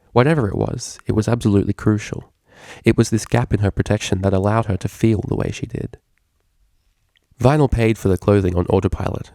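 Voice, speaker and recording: Low, mellow, gravelly male story narrator. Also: no room sound, clean studio sound. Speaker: fan fiction reader.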